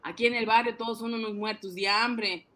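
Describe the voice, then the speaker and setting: A man voicing an excitable, boastful ice-cream seller who fancies himself a banker, cajoling and exclaiming in a comic radio drama. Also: sits high, normal speed, voice pushed up. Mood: fretting.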